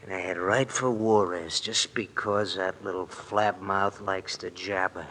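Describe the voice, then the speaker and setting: Hard, low-voiced sadistic radio thug. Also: male voice, fairly even intonation, measured pace, bright, forceful tone, a little hiss or hum. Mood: sneering menace. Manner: taunting, threatening, slangy, repeats his threats. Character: sadistic, menacing underworld thug who hates cops. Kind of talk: 1950s radio drama acting. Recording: old AM radio drama recording.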